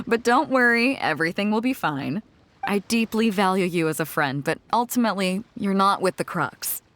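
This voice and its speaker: A woman's voice: on the high side, a bit bright. A woman playing a confident, easygoing pirate captain in a video game, assured and frank. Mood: reassuring. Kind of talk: video game character acting.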